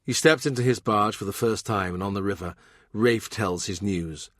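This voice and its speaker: A man's voice: on the low side, moderate pace, a bit bright, dry recording, clean studio sound. A man narrating a historical novel as a scripted literary audiobook.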